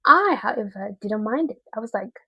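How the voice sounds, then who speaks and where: A woman giving a casual, chatty video review in an expressive, slangy way with emphatic repetition. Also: on the high side, normal speed, slightly rough, no echo, muffled recording.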